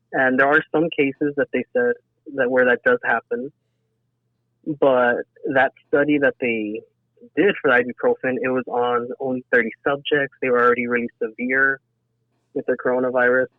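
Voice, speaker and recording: A man speaks in an earnest, conversational way, as a guest giving his own account. His voice sits at a medium pitch. The sound is dry and narrow, like a telephone call. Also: dry recording. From south Texas, United States. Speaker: interview guest, emergency dispatcher. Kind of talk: casual personal account in a podcast interview. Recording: thin phone-like band-limited sound.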